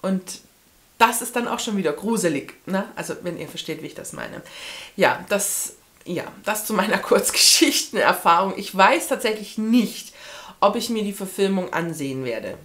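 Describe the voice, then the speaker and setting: Chatty woman book vlogger, mid-pitched voice. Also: animated, moderate pace, fairly bright tone. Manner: chatty, thinking aloud, trailing off into asides. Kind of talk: casual book review to camera. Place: small furnished room with bookshelves, indoors.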